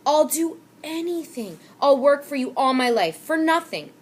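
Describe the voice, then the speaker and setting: A woman voicing a desperate young boy who pleads loudly and urgently in a storybook reading. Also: sits high, expressive, normal speed, pitched above the performer's own voice. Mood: frantic.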